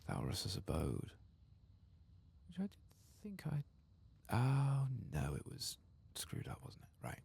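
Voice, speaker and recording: Man, gaming ASMR host, soft-spoken and whispery, husky, heavy vocal fry, pitch rising and falling. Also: wildly swooping pitch, slow. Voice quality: husky, breathy.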